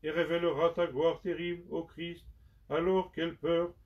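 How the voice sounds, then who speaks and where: A man giving a slow, solemn liturgical recitation of penitential prayers in measured, prayerful phrases. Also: fairly high pitch, flat delivery. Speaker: liturgical reader. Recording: dull sound from far off the mic.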